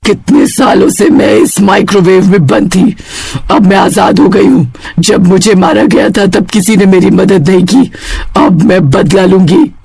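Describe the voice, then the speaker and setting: A woman's voice: mid-range voice, measured pace, gravelly, a little mellow, husky, no echo, soft background music. A woman playing a vengeful, menacing witch in a horror cartoon, threatening and taunting. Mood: vengeful fury. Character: vengeful female witch freed from a microwave, menacing.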